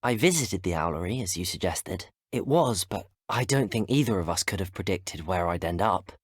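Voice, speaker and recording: Earnest young British wizard student. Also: male voice, low-pitched, animated, normal speed, husky, no room sound, studio quality. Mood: polite, earnest. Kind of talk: video game character dialogue.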